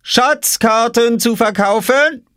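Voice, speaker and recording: A man's voice: high-pitched, big pitch swings, a little fast, no echo, studio quality, pitched above the performer's own voice. A man voicing a cheeky, scheming little boy who crows boldly like a salesman in a children's story. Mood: cheeky bargaining. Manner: bold, crowing salesmanship.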